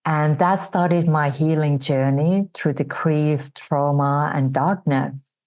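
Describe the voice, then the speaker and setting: A woman from Finland with a Finnish accent, a guest on an interview show, sharing her personal story in a soft, reflective way. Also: deep voice, slow pace, muffled recording.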